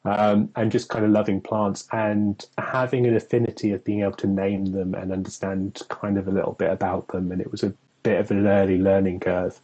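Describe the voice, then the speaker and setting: A husky, fairly low-voiced young man from England rambles through a casual live chat, leaning on filler words and laughing easily. sic: no mid-sentence commas needed His voice has a slightly rough edge. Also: flat delivery, fairly quick, few pauses, warm tone, highs cut off. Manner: chatty, rambling, laughs often, many "kind of" fillers. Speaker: co-host.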